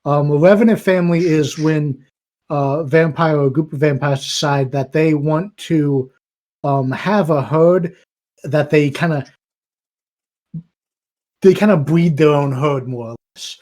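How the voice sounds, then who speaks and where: A man's voice: fairly high pitch, a little slow, a little mellow. A man chatting casually on a podcast, explaining things in rambling runs with many fillers.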